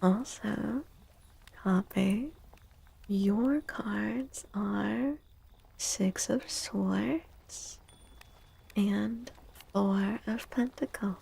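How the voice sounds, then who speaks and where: Soft, breathy, slow-spoken ASMR woman. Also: mid-range voice. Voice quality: soft, breathy, often whispered. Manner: gentle, reassuring, unhurried. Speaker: tarot reader, streamer.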